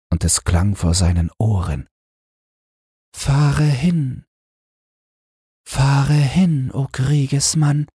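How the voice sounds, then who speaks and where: A man with a very deep, breathy voice reads a fairy tale slowly and soothingly. His delivery is unhurried and gentle, in a dry, close-miked sound. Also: no echo. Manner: slow, soothing and even. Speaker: audiobook reader. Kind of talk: calm bedtime story narration.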